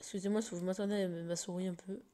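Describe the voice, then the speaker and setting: A woman's voice: fairly low pitch, steady tone, fast, dark tone. A woman with a slight cold, talking through a casual game tutorial while recording her screen, hesitant and full of fillers and restarts.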